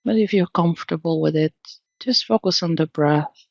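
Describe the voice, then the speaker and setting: A woman leading an unscripted guided meditation in a calm, soothing manner, leaving long pauses between phrases. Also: fairly low pitch, gravelly, no room sound, dull audio.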